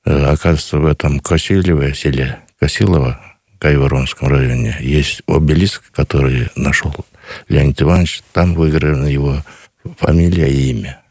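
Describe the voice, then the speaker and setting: A man, answering a radio interviewer earnestly and slowly, with many pauses. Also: rumbling low, steady tone, darkish tone, breathy edge, no echo, studio quality. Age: 50s. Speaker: guest, grandson of a missing soldier.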